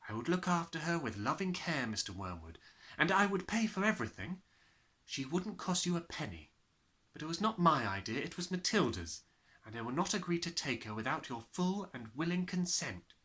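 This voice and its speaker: A kindly storybook schoolmistress, played by a man, speaks in a soft and slightly raised voice. She is calm, measured and tender, with a reassuring manner. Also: on the high side, voice pushed up. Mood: earnest. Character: gentle, kindly young woman teacher, calm and reassuring.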